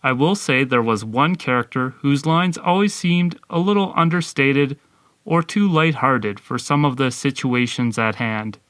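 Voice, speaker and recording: A man co-hosting a podcast, delivering a scripted review in a measured presenting style. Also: medium pitch, fairly bright tone, dry recording.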